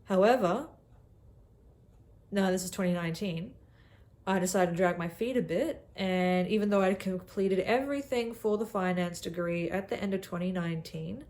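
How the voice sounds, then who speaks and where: A woman's voice: mid-range voice, normal speed, a little mellow. A young Australian woman in her twenties talking casually to camera from a cabin, brash and self-mocking. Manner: brash and self-mocking, calls the viewer sweetheart. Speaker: vlogger.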